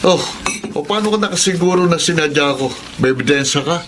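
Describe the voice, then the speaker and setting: A Filipino actor playing a sly, scheming office colleague in a radio drama, mock-friendly and needling. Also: male voice, on the high side, a little fast, very noisy, natural voice.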